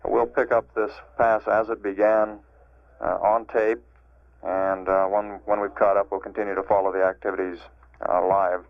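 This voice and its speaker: An American man giving measured official spaceflight status commentary as a mission control announcer, matter-of-fact and halting, over an archival radio transmission. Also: lowish voice, little pitch movement, creaky, no room sound. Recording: narrow-band, phone-like archival radio transmission.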